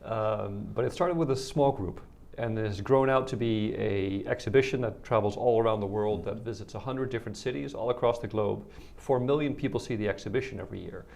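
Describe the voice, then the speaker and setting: A man from the Netherlands with a Dutch accent, a guest explaining his work in measured answers. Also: fairly low pitch, vocal fry, a little mellow. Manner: measured, explanatory, some repeated words. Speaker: guest, organization head. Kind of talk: interview answers.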